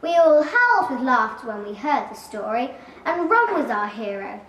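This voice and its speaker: Girl around ten, high voice, dramatic poem recitation, echoing room, distant mic. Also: sing-song, moderate pace, fairly bright tone. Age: child, about 9 or 10. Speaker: school pupil reciting. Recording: echoey room, distant mic, faint noise.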